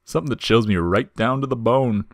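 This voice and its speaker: Skeleton monster, performed by a male reader: mock-casual wisecracker, lifted pitch, close dry sound, folksy menace. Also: a little fast, no room sound, natural voice. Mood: menacing.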